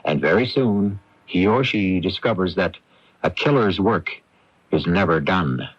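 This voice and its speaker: A man hosting a radio mystery show with solemn, measured, scripted dramatic narration. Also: low-pitched, expressive, slightly rough. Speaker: host. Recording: dull lo-fi radio broadcast tape.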